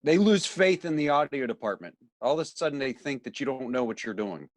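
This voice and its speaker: A man's voice: medium pitch, animated, brisk, no echo. A man speaking conversationally as an audio technician in a training panel discussion.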